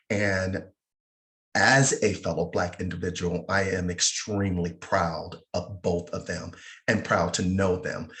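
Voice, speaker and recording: A man's voice: sits low, a bit bright. A man moderating a panel discussion and introducing the guests.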